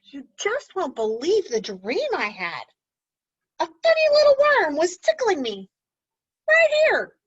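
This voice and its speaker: A woman voicing a cheerful young male apple from a storybook in a bright, sing-song voice. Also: very high-pitched, wildly swooping pitch, slow pace, lo-fi sound, voice pushed up, full character voice. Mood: amazed.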